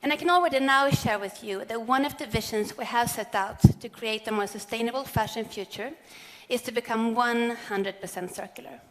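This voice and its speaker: A woman, giving a measured, earnest prepared keynote speech on stage. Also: fairly high pitch, fairly bright tone. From Sweden. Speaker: corporate sustainability speaker. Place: large event hall on stage. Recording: long hall reverb.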